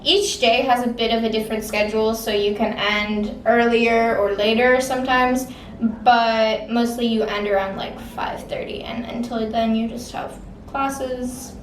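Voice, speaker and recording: A woman's voice: fairly high pitch, fairly even intonation, measured pace, roomy sound, distant mic, a little hiss or hum, poor audio. A sixteen-year-old girl from the Czech Republic, an exchange student answering interview questions casually with lots of "like" and "um". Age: teens. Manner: casual, many fillers like "like" and "um".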